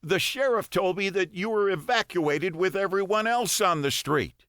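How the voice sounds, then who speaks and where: Man voicing a high-pitched, worried grown son. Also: animated, normal speed, fairly bright tone, no room sound, clean studio sound. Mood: alarmed. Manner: urgent, anxious. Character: worried adult son, alarmed and apologetic. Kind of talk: audiobook character dialogue.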